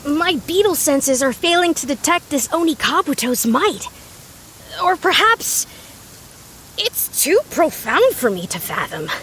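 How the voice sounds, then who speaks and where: A woman's voice: high-pitched, very animated, unhurried, fairly bright tone, a little hiss or hum, exaggerated voice. A woman voicing a small, earnest young female video game character who speaks in a formal, thoughtful, wordy way. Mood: cautious, formal.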